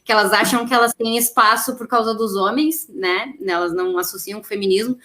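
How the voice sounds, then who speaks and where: A woman's voice: mid-range voice, a little fast, fairly bright tone. A woman speaking as an interviewer in a casual podcast conversation.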